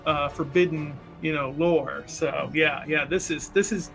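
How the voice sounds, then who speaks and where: A man playing a tabletop game, improvising narration of his character's actions in a rambling, halting way. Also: a little high, fairly even intonation, creaky, fairly bright tone, soft background music, poor audio.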